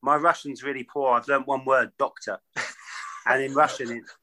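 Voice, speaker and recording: A man's voice: mid-range voice, steady tone, normal speed. Joking man, faint clicky noise. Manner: joking, rambling. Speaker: host of the guest, treadmill owner.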